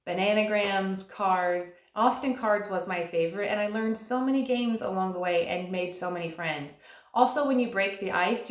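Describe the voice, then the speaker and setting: A woman in her thirties giving earnest, encouraging advice to camera, slowing down to stress key words. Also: medium pitch. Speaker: vlogger and stay-at-home mom. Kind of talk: scripted advice talk to camera. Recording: echoey room.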